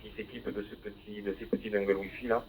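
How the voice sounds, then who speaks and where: A man's voice: lowish voice, level tone, fast talker. A man talking over a ham radio link, hesitating with many fillers and spelling call signs phonetically. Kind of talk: radio contact over the air. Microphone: handheld radio microphone, decoded by a receiver. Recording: tinny narrowband digital radio with faint hiss.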